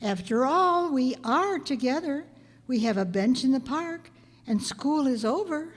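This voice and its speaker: In a reverberant hall, a woman acts out a wry schoolteacher with romantic hopes in the manner of old radio comedy. Her high voice moves through wide swings of pitch, with a dry, knowing edge. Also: animated, a little slow. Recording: echoey hall stage recording.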